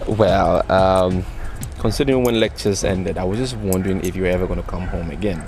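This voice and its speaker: A man's voice: low voice, fairly quick, over music. An actor playing a charming young male student who keeps chasing a girl, teasing and earnest by turns, his first language Yoruba. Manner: teasing, smooth-talking, earnest. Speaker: persistent student suitor. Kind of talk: film drama acting.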